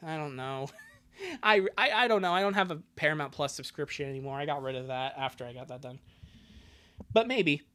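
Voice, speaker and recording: A man's voice: on the high side, lively intonation, a little fast, sharp tone, smooth. A man chatting casually on a livestream as an amateur impressionist, rambling and stammering with jokey asides. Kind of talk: casual livestream chat and reading aloud.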